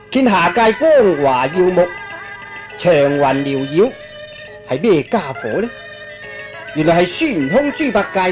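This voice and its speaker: A man's voice: high voice, rough recording. A man narrating a Cantonese radio drama in a storyteller's style.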